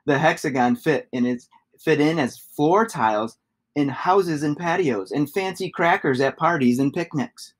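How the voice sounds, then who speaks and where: A man, giving an expressive read-aloud of a children's story. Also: a little high, a little slow. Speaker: storybook reader.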